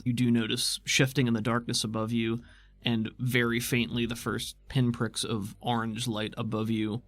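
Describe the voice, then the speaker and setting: A man's voice: mid-range voice, gravelly, a bit bright, no room sound. A man working as game master, narrating a tabletop game in an easygoing, descriptive, conversational way.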